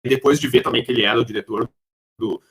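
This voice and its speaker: A man's voice: mid-range voice, fairly even intonation, quick pace, fairly bright tone. A man with a Brazilian accent making casual comments as an interviewer on a podcast.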